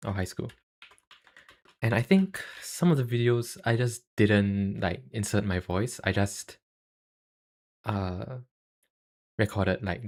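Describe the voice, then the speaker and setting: A young man with a Singaporean accent chatting casually on a livestream, halting and self-deprecating, speaking into a cheap USB microphone. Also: on the low side. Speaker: YouTuber and live streamer.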